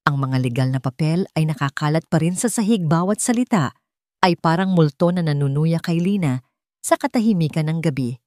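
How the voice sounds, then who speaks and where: A woman narrating a dramatic audiobook story in measured, suspenseful phrases. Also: sits low, animated, a little mellow, dry recording, studio quality.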